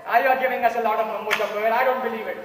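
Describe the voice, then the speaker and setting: A man's voice: very high-pitched, steady tone, rapid-fire, fairly bright tone. A young Indian man in an amateur play, acting a blustering, impatient, argumentative juror. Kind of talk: amateur stage drama acting. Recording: echoey hall, distant mic, noisy.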